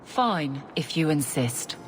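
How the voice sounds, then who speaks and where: An actress in a video game playing a blunt, no-nonsense guard captain, curt and exasperated. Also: female voice, low voice, very animated, takes it slowly, noisy background, heavily acted.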